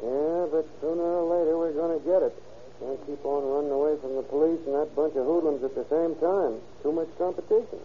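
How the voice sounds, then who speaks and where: A man's voice: a little high, brisk, natural voice. An actor playing a dutiful, wry policeman, the plain-spoken sidekick in an old-time radio crime drama. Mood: wry, tense. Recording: tinny, faintly noisy old radio recording.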